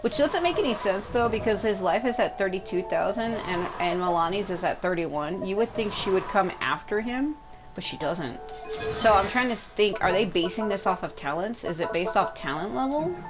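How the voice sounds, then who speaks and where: A woman with a congested, mucusy throat doing casual, sweary game commentary, thinking out loud. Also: medium pitch, animated, moderate pace, rough recording. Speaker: gaming video host. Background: music.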